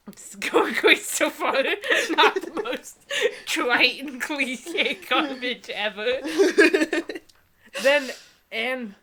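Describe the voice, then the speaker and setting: A woman's voice: on the high side, pushed and bright. A young woman co-hosting a casual, mocking read-aloud, sarcastic and giggly, tripping over words as she goes. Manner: sarcastic, giggly, often stumbles while reading. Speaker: co-host.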